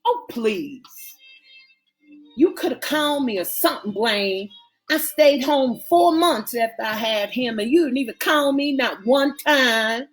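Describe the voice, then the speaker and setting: A woman's voice: a little high, animated, measured pace, soft background music. Sassy young mother, as a narrator puts her on. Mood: scolding. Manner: sassy, teasing.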